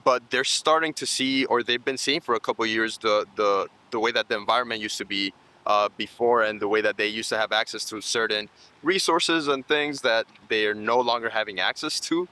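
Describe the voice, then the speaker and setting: A man's voice: fairly low pitch, brisk, sharp tone, low-quality recording. A man in his thirties from Colombia, raised in Miami, giving earnest, explanatory answers in a conversational interview. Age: 30s. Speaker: nonprofit program manager and interviewee.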